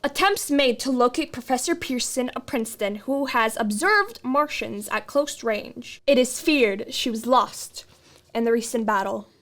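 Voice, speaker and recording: Serious bulletin announcer played by a woman, high voice, clipped scripted news reading for radio drama. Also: animated, unhurried, fairly bright tone. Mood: grave. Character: serious radio newsreader giving war bulletins.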